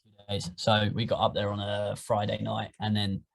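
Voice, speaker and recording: A young man with an English accent, chatting casually as a podcast guest, rambling and animated. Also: fairly low pitch, moderate pace, creaky. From England. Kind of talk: casual chat.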